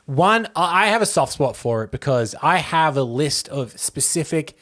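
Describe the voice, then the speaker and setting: A man co-hosting a podcast, chatting casually about films in a conversational, measured but opinionated way. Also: fairly high pitch, animated, a bit bright. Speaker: podcast co-host. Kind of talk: casual film discussion.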